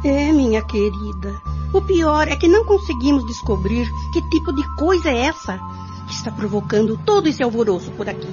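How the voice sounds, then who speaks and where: A muffled radio play recording with clear music under it. An actress plays a concerned, motherly mistress of a household, her high voice swooping theatrically as she soothes. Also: animated, normal speed, natural voice. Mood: concerned, anxious. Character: anxious, motherly lady of the house. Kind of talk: radio soap melodrama.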